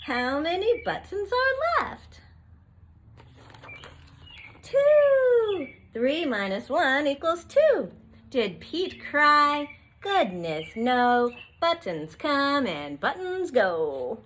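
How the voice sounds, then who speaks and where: A middle-aged woman reading a picture book aloud to young children on an outdoor patio, expressively, with drawn-out questions and singsong refrains. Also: high voice, sing-song, a bit bright. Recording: outdoor recording with faint background noise.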